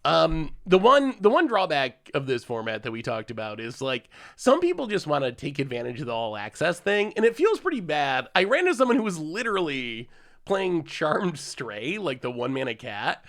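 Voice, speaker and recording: A man's voice: a little high, big pitch swings, normal speed, fairly bright tone. Congested man chatting through a game stream. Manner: chatty, laughs often, thanks chat subscribers. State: congested from a cold. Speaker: streamer playing a card game. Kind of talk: casual live-stream commentary while playing.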